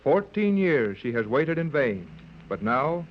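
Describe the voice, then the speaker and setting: A man's voice: fairly high pitch, sing-song, a little slow. A man narrating a scripted 1930s radio serial as its announcer. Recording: dull lo-fi 1930s radio recording.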